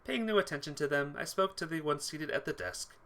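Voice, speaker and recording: A man reading a visual novel aloud as a playthrough host, narrating in an expressive, theatrical way. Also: on the high side, a little fast. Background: music.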